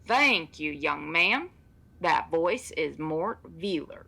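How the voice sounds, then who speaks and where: Radio serial farm woman, middle-aged, stubborn and rustic, played by an actress, with lively swings in pitch. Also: takes it slowly, creaky, slightly rough, exaggerated voice. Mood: matter-of-fact, folksy. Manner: rustic folksy speech, suspicious, blunt. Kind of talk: old-time radio serial acting.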